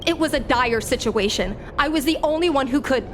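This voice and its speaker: A woman voicing a defiant young girl in a video game, petulant and impetuous. The voice is extremely high, with music clearly playing behind it. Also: a little fast, natural voice. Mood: defiant, exasperated. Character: headstrong, defiant young daughter, eager pilot.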